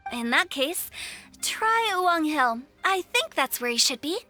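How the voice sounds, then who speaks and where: Very high bright voice, cheeky teenage girl, confident, quirky, anime game dub. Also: big pitch swings, a little slow, faint music behind, full character voice. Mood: breezy, confident.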